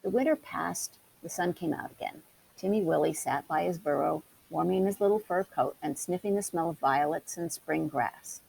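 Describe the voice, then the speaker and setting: A woman reading a children's story aloud in an expressive way. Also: sits low, moderate pace, creaky, low-quality recording. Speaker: storybook reader. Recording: hissy background noise.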